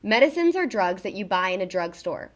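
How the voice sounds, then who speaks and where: A woman reading a children's book aloud in an expressive, emphatic way, as a narrator for young listeners. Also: mid-range voice, brisk.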